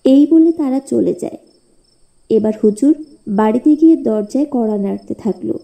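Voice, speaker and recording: A woman's voice: fairly high pitch, normal speed, gravelly, mellow. A woman narrating a scripted moral tale for a cartoon, as the storyteller.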